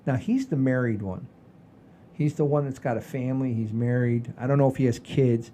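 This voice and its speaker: An older man hosting a casual podcast, rambling in a folksy, halting way with repeats and the odd mild swear. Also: mid-range voice, dark tone, a little hiss or hum. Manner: folksy, mildly profane, halting and repetitive. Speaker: podcast host.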